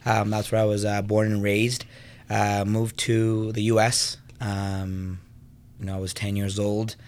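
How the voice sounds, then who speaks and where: Low-voiced man from Venezuela chatting. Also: little pitch movement, a little slow, a little mellow, clear, resonant tone. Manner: personable, many fillers. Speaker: guest, fitness business co-owner. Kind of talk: casual interview chat.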